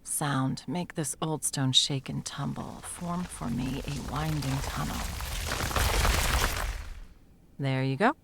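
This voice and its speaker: A woman's voice: extremely low pitch, fairly even intonation, unhurried, vocal fry, background noise, heavily acted. A woman playing a brash, sweary, bossy sound mage with a teasing fondness, in an intimate audio roleplay. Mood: focused. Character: brash, foul-mouthed, teasing female sound mage, secretly smitten. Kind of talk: intimate second-person audio roleplay acting.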